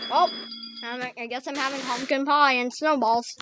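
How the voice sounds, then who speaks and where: A woman's voice: sits high, steady tone, moderate pace. A woman improvising a dramatic role-play during a video game, exclaiming as she goes. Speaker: gameplay role-player. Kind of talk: improvised gaming role-play with toy characters. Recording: noisy game capture with clicks and gunfire.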